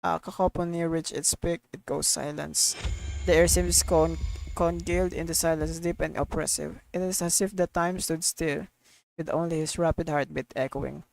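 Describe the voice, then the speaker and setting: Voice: mid-range voice, steady tone, moderate pace, vocal fry, soft background music, low-quality recording. A streamer reacting live to a game and reading its text aloud.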